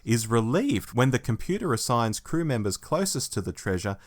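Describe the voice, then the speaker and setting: A man presents a review podcast, reading through a written synopsis. His mid-pitched voice moves up and down a good deal, giving the narration a lively shape. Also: normal speed. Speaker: podcast host.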